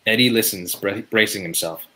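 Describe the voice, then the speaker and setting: A man's voice: on the low side, normal speed, bright, forceful tone, rough recording. A man reading scripted stage directions as narrator at a table read.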